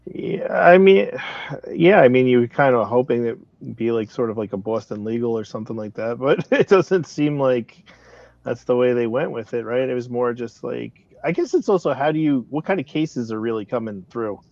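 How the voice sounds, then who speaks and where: Creaky-voiced guy thinking out loud in a casual chat, kinda rambly, dry muffled sound. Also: mid-range voice, expressive, normal speed, dry recording. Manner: hesitant, rambling, thinking aloud. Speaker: talk show panelist.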